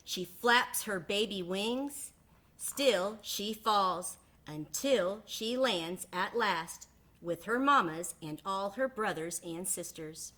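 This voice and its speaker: A woman with a bright, forward voice reads aloud from a children's picture book. She sounds like a teacher reading to a class. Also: mid-range voice, takes it slowly. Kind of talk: children's storybook read-aloud.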